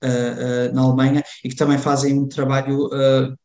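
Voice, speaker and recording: Young man, guest chatting casually, halting and full of fillers, echoey room. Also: mid-range voice, monotone, warm tone. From Germany. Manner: hesitant, many fillers and restarts. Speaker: interview guest. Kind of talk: casual conversation. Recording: roomy echo.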